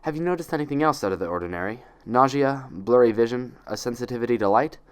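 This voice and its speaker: Man voicing a brisk, cheery older nurse mare, raised pitch. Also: animated, smooth, natural voice. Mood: brisk, clinical. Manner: brisk, cheerful, matter-of-fact.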